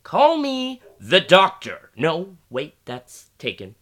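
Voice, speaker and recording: A man's voice: a little high, wildly swooping pitch, slow pace, fairly bright tone, exaggerated voice. A man playing a pompous, petulant mad scientist supervillain in a comic radio play, theatrical and easily exasperated.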